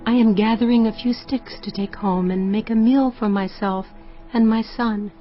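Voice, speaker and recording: A woman's voice: a little high, fairly even intonation, moderate pace, dull audio, soft background music, natural voice. A woman playing a poor widowed mother in a dramatised audio Bible, earnest, plaintive and full of emotion. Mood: weary despair.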